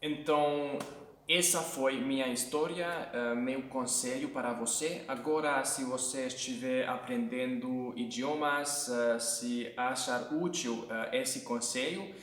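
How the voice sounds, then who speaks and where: A man's voice: medium pitch, slow, fairly bright tone, a little hiss or hum. A Hungarian man with a Hungarian accent and Hungarian as his first language gives casual language-learning tips, hesitating often, with many fillers and restarts. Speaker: language-learning video host. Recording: echoey room, mic at a distance.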